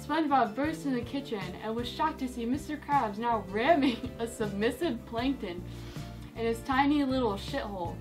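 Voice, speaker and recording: Higher-voiced young woman reading a story. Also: moderate pace, over music, rough recording. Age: young adult. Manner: giggly, breaks into laughter while reading. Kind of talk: mock bedtime story reading. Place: indoors, against a dark cloth backdrop.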